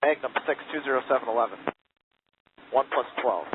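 A man's voice: mid-range voice, a little fast. A man making terse, coded military callouts over the radio. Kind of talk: clipped military radio chatter. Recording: tinny, noisy narrow-band radio transmission.

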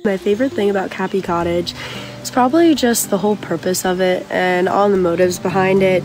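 A woman giving a testimonial as a retreat guest for a promotional video. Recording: noisy location sound with rumbling ambience.

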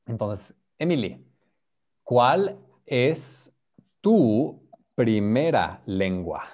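A man's voice: mid-range voice, sing-song, very slow, darkish tone, muffled recording. A man teaching an online beginner Spanish class over a video call, patiently prompting students and mixing Spanish with English. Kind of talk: online group language class.

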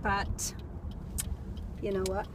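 A woman's voice: mid-range voice, little pitch movement, extremely slow. A middle-aged woman vlogging casually, chatting in a rambling way and repeating words for emphasis. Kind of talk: casual chit-chat vlog. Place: inside a car. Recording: noisy car interior, road ambience. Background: car and road noise.

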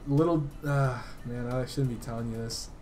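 A man's voice: mid-range voice, fairly even intonation, moderate pace, a little mellow. Slangy, rambling man over music. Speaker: gaming video creator making a prank call. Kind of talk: casual phone chat.

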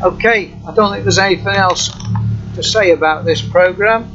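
Man over loud engine hum, muffled. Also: sits high. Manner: halting, unscripted, matter-of-fact. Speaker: software demonstrator. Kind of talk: informal screen-recorded software walkthrough. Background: running car engine hum.

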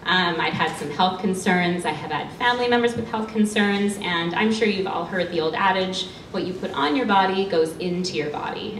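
A Canadian woman in her thirties with a loud voice, giving a passionate talk with a handheld mic to a small audience. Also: on the low side. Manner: passionate, emotional, laughs often. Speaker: guest speaker, cosmetics maker. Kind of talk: public talk at a panel event. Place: small studio event room with an audience. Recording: roomy sound from a far camera, faint hum.

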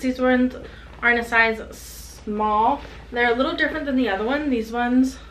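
Young woman, casual haul vlog, slightly high voice, roomy echo, faint hiss. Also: a bit bright. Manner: chatty, upbeat, showing items to camera. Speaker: reseller vlogger. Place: small home room with clothing rack. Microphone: camera on desk at close range. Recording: echoey room, faint background hiss.

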